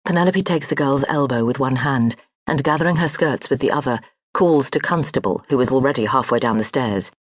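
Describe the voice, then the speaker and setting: Measured literary audiobook narrator. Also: mid-range voice, no room sound. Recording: dry close studio audiobook.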